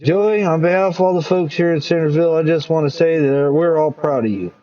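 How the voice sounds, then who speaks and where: A man's voice: on the high side, a little fast, dark tone, voice pushed up, natural voice. A man voicing a genial small-town mayor giving a folksy public welcome. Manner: speechifying, genial. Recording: dull lo-fi recording.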